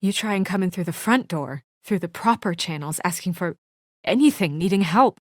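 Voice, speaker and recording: A woman playing an earnest, weary and conscientious young administrator in a video game, speaking in a measured, serious, sometimes hesitant way. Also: fairly low pitch, lively intonation, dry recording, studio quality. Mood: troubled, frustrated. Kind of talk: naturalistic video game voice acting.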